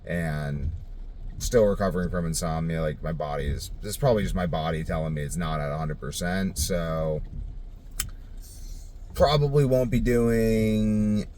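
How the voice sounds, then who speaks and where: A man with a low-pitched voice talks casually to his video diary. He rambles and thinks aloud, unscripted, over a faintly noisy home recording. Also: normal speed, warm tone. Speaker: vlogger.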